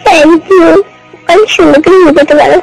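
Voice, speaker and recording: A woman's voice: very high voice, moderate pace, gravelly. A young woman with a Bangladeshi Bengali accent chatting casually and intimately on a recorded phone call. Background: music.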